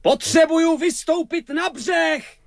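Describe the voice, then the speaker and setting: A man's voice: high-pitched, expressive, dry recording, clean studio sound. A man playing a hot-headed, honest boy sailor in a dramatised story, speaking insistently and defiantly. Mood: urgent.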